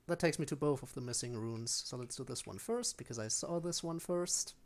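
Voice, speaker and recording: Game streamer, mid-range pitch, casual off-the-cuff play-by-play, talking to self and to on-screen enemies. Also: brisk. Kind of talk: casual live gameplay commentary.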